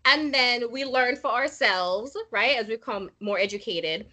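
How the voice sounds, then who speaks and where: A woman's voice: a little high, unhurried. A woman from Jamaica, casually discussing immigrant life with friends and asking the group questions. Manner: animated, acts out quotes, asks questions.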